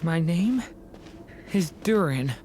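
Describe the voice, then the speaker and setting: A woman voicing a fading young dragon boy in a video game, halting and questioning as if lost. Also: on the low side, animated, a little hiss or hum. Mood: faint, lost. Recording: loud music behind the voice.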